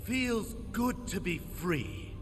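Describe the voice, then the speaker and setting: Slow, lilting, carefree game rogue. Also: male voice, high voice, lively intonation, heavily acted. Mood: breezy. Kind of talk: fantasy video game cutscene acting. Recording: long reverb, music behind.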